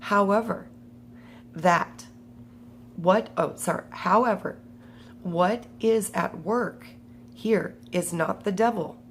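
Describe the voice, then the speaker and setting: A woman with a cold and a strained voice, reading a spiritual book aloud and adding her own comments in a slow, halting way. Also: mid-range voice, a little hiss or hum.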